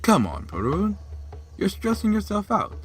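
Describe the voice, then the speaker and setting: A man's voice: sits high, wildly swooping pitch, unhurried, soft background music, exaggerated voice. A man playing a hearty, jovial, fatherly god who teases and exclaims in an audio drama. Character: jovial, bellowing, drum-beating male god, fatherly.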